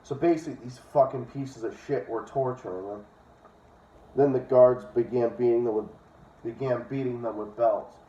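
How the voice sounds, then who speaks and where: Guy reading a book out loud and ranting, swears a lot, mellow voice, dull roomy audio. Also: medium pitch, steady tone, moderate pace. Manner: profane, blunt, opinionated. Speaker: host reading a book aloud. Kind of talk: casual read-aloud with ranting commentary. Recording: dull, echoey room, distant from mic.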